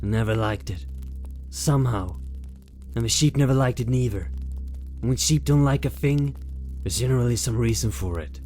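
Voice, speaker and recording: A man's voice: mid-range voice, moderate pace, long pauses, darkish tone, soft background music, close to an everyday voice. Man playing a nervous rustic shepherd father. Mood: uneasy, hushed. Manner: rambling, folksy country speech. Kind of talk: amateur audiobook drama acting.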